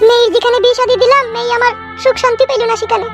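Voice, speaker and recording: Squeaky, scheming Bengali mother-in-law. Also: flat delivery, very fast. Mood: pleading, conniving. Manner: fawning, folksy, repetitive endearments. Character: scheming, gushing village mother-in-law, middle-aged woman. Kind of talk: comedy cartoon dialogue. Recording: music behind the voice.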